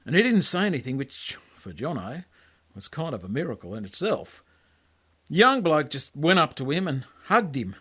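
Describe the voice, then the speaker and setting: A man's voice: fairly high pitch, very animated, normal speed, bright, forceful tone, breathy edge. An Australian man reading his own story as a colloquial, laconic first-person yarn. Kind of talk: storytelling monologue, first-person character narration.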